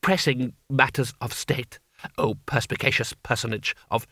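A man voicing an obsequious, scheming older imperial vizier with florid, unctuous flattery, in an audiobook story. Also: mid-range voice, moderate pace, no room sound, clean studio sound. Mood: nervous flattery.

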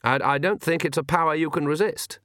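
A man's voice: on the high side, a little fast, fairly bright tone, no echo, clean studio sound, pitched above the performer's own voice, natural voice. A man playing an anxious man of religion in an audiobook story, hesitant and stammering. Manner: hesitant, stammering with ums and uhs.

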